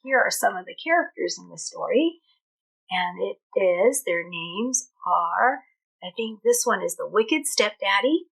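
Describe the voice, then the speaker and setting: A woman teacher reading a storybook aloud to young children, pausing to explain and ask questions. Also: mid-range voice, expressive, creaky, a bit bright, rough recording. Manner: explanatory, pauses to question the listeners.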